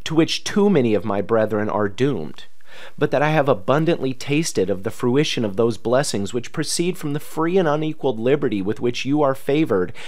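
A man's voice: mid-range voice, a little mellow. Man reading a formal historical letter aloud. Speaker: reader.

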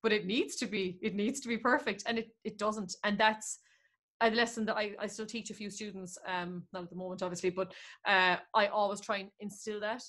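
A woman's voice: mid-range voice, brisk. Irish woman chatting on a video call. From Ireland. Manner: animated, talks in long flowing runs with quoted asides. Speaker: guest singer. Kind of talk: casual interview chat. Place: room at home. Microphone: video call with earphone mic.

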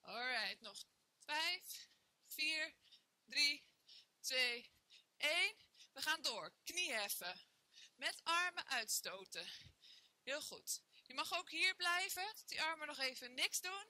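A woman's voice: high voice, expressive, slow, long pauses, bright, forceful tone, no echo. A young woman leading a workout class, counting reps and cheering on her students while she exercises along in a bare indoor room. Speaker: fitness trainer. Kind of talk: guided workout instruction.

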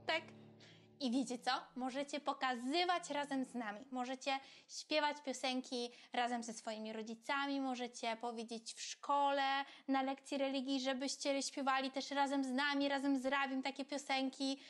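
A woman's voice: high voice, steady tone, normal speed, faint music behind, close to an everyday voice. A woman voicing a friendly, childlike puppet who chats simply to children on a kids' show. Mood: friendly, encouraging. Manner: simple, chatty, addressing children directly.

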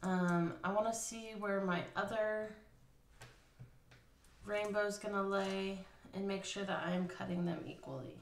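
A woman's voice: mid-range voice, fairly even intonation, a little slow, slight background noise. A woman casually explaining a craft step by step at a table, thinking aloud with fillers. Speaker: craft instructor. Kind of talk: casual hands-on craft tutorial. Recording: roomy, slightly distant.

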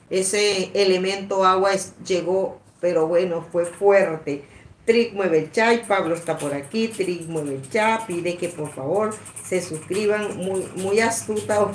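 A woman in her sixties hosting a casual live stream, reading out comments in a rambling, halting, repetitive way. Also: medium pitch. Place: small indoor room at a table. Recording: echoey room, some background noise.

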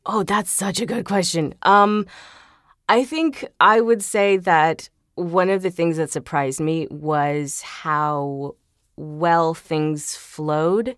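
Low-pitched woman chatting, close and dry. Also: slow pace, dry recording. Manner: chatty, earnest, often chuckling. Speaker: podcast guest, voice actor. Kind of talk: casual interview chat.

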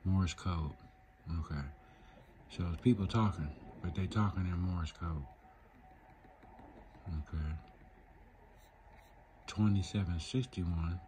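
A man's voice: low voice, takes it slowly, long pauses, noisy background. A man giving a casual, off-the-cuff tutorial, thinking aloud in an informal way as he demonstrates. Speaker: video creator demonstrating shortwave radio listening. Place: indoors at a laptop. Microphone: handheld phone behind the camera.

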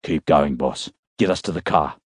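A man voicing a steady military leader in an audiobook, speaking in a clipped, commanding way. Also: a bass voice, normal speed, breathy edge, no echo, studio quality. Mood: urgent command. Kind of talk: audiobook character dialogue.

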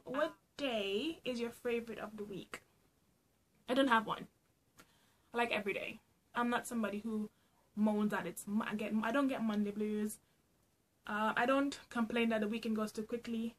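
A young woman from Trinidad and Tobago with a Trinidadian accent, chatting playfully and laughing often as she answers get-to-know-me questions for her vlog in a small room at home. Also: fairly high pitch. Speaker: vlogger.

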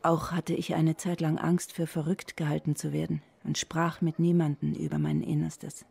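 An actress playing a reflective, burdened middle-aged woman reading her letter in a hushed, introspective way in a radio play. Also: low voice, level tone, moderate pace, gravelly, slightly rough, heavily acted. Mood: pensive, sorrowful. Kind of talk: intimate letter monologue in a German radio play.

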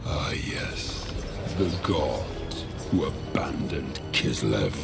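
A man voicing an ancient, sinister shadow demon in a video game cinematic, growling with slow, theatrical menace and gloating cunning. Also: extremely low pitch, lively intonation, full character voice. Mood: sinister, mocking. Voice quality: gravelly, growling. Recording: loud music behind. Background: loud music.

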